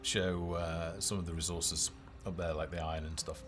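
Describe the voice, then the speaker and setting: Deep-voiced man presenting a game livestream. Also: flat delivery, normal speed, fairly bright tone. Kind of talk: casual live game demo commentary. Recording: music behind the voice.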